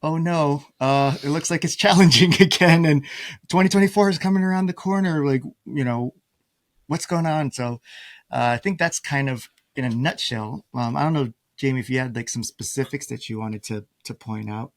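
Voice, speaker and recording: A man's voice: medium pitch, moderate pace. A man hosting a podcast, talking casually and informally in a slightly tentative, conversational way. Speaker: podcast host.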